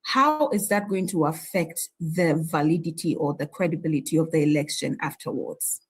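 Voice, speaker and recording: A woman's voice: low-pitched, normal speed, husky. A woman anchoring a news interview, asking direct, probing questions.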